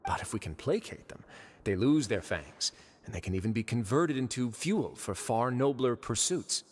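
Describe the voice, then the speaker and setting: An actor playing a composed, authoritative duke of a prison fortress in a video game, speaking in a measured, formal way. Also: male voice, mid-range voice, animated, slight background noise, close to an everyday voice. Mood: measured, reflective. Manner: measured, formal, dryly understated. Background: faint music.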